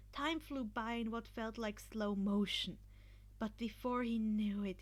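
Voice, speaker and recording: A woman reading a fan fiction story aloud as expressive scripted narration. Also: on the high side. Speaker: podfic reader.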